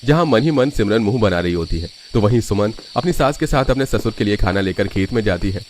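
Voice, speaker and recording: A man's voice: fairly low pitch, lively intonation, brisk, a little mellow, a little hiss or hum. A man narrating a scripted family story.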